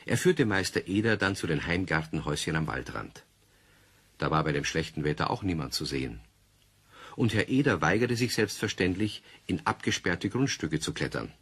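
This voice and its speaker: A man's voice: sits low, expressive, normal speed, a bit bright, husky. A man narrating a scripted children's story as the narrator of an audio play.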